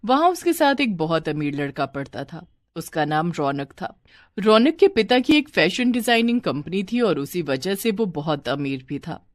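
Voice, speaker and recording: A woman's voice: fairly low pitch, big pitch swings, normal speed, no echo, studio quality. A woman reading a scripted moral bedtime story as a storyteller.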